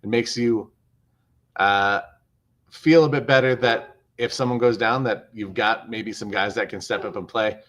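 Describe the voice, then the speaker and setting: Male sports commentator, lowish pitch, measured, explanatory, casual analysis, repeated phrases for emphasis, video call. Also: steady tone, a bit bright.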